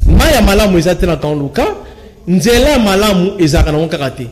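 This young man speaks with a Congolese accent, mixing French and Lingala as a guest on a TV studio set. His voice sits high and he talks in animated bursts, gesturing as he goes and often restarting his sentences. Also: moderate pace. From Kinshasa, Congo. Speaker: studio guest, local resident. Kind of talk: studio interview, animated talk. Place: TV studio with screen backdrop.

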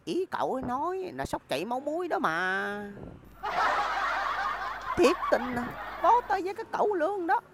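A high-pitched, cheeky cartoon schoolkid voiced by a woman, swooping up and down with exaggerated delivery. The track has clearly noisy ambience behind it. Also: big pitch swings, normal speed. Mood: exasperated, teasing. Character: silly, chatty young schoolchildren in a crude comedy cartoon.

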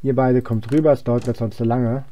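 Young guy doing a chill let's play, soft dark tone, kinda rambles thinking out loud. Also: medium pitch, steady tone, brisk. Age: young adult. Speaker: let's player. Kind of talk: casual gameplay commentary.

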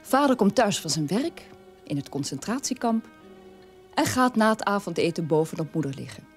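An actress playing a sober middle-aged daughter of a war criminal, telling her past in a measured radio-play monologue with a husky voice. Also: mid-range voice, big pitch swings, faint music behind. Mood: sober, reflective.

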